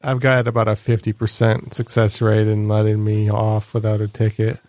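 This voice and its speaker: A man hosting a casual comedy podcast, rambling deadpan through absurd ideas. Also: moderate pace, no echo, clean studio sound. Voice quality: low voice. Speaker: podcast host.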